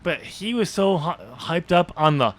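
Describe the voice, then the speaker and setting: Higher-pitched man chatting on a podcast. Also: lively intonation, normal speed. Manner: chuckling, informal. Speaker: podcast host. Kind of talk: casual book discussion.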